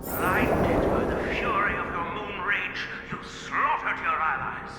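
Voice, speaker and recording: An actor playing a stern, righteous military accuser in a dramatic game, condemning in a declamatory way. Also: male voice, high voice, slow pace. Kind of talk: dramatic video game voice acting. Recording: heavy echo under loud music.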